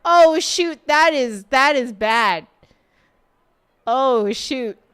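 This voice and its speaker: A woman's voice: sits high, lively intonation, extremely slow, a bit bright, clear, resonant tone. A woman giving casual live game commentary as a streamer, chatty and rambling. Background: game music.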